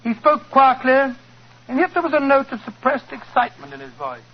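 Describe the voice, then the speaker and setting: An actor playing a loyal, easily baffled British gentleman in a blustering 1940s radio drama style. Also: very high-pitched, big pitch swings, brisk, heavily acted. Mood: puzzled, recounting. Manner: blustering, indignant. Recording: muffled, noisy 1940s radio recording.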